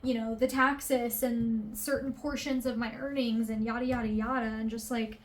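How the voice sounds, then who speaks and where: A woman's voice: fairly high pitch, flat delivery, moderate pace, slight background noise. A woman in her twenties, an artist, talking candidly and emotionally in a confessional vlog, rambling with fillers and casual swearing. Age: 20s. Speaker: artist vlogger.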